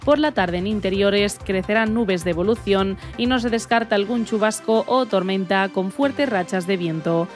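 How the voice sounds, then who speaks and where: A woman's voice: mid-range voice, moderate pace, few pauses, soft background music. A woman with an accent from Spain reading a scripted radio weather forecast.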